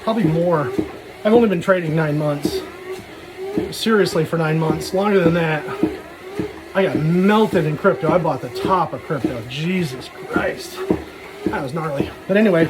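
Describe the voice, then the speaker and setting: A man's voice: a little high, normal speed, very noisy. A man giving a casual, rambling solo vlog monologue in frank, slangy, sweary talk. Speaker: solo vlogger.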